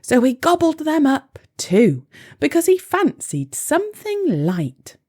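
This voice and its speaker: A woman's voice: high voice, huge pitch swings, slow, darkish tone. A woman reading a rhyming children's storybook aloud in a playful, theatrical way. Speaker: storybook reader.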